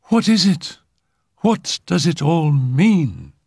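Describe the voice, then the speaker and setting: A man acting a frightened, high-pitched young lady. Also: sing-song, unhurried, vocal fry, warm tone, husky, dry recording, clean studio sound, exaggerated voice. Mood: frightened, doubtful. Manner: anxious, pleading. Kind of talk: audiobook character acting.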